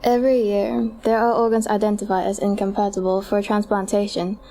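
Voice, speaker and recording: A woman's voice: medium pitch, level tone, brisk, dark tone, natural voice. A woman playing an informative, persuasive medical adviser in a scripted audio drama. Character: future medical adviser pitching donor-heart scaffolds, informative, persuasive.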